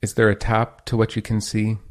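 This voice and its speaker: A man leading a guided meditation as host, giving gentle, measured instructions with pauses between phrases. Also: on the low side, completely flat delivery.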